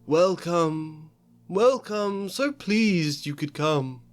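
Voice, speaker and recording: A man voicing a courtly, mournful old ghost gentleman. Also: fairly high pitch, lively intonation, pitched above the performer's own voice. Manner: slow, mournful and formal.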